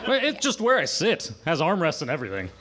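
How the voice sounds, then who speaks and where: A man's voice: on the high side, wildly swooping pitch, fast, crowd noise, background noise. A man playing a vain, dim-witted space captain with brash, boastful swagger in a sci-fi comedy radio play.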